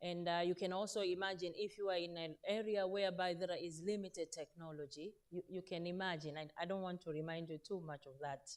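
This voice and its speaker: A woman in a slightly low voice. She addresses a conference audience earnestly as a veteran teacher, pausing on fillers and correcting herself as she goes. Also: fairly even intonation. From Zanzibar, Tanzania. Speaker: panel speaker, veteran teacher. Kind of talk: conference panel talk. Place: conference stage in Turkey.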